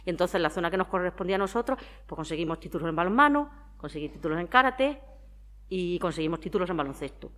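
This is a woman in her forties with a Spanish accent from Spain. Her voice sits a little low for a woman. She talks freely and conversationally, answering as an interviewee and explaining things through examples. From Madrid, Spain. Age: 40s. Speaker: interviewee, former athlete and town sports councillor.

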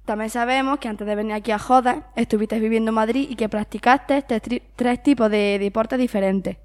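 Higher-pitched Spanish woman interviewer. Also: fairly even intonation, a little fast. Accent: Spanish (Spain). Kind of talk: prepared interview questions.

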